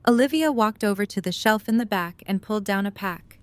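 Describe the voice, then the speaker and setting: A woman's voice: mid-range voice, a little fast, slight background noise. A woman narrating a scripted story as the narrator of an audio drama.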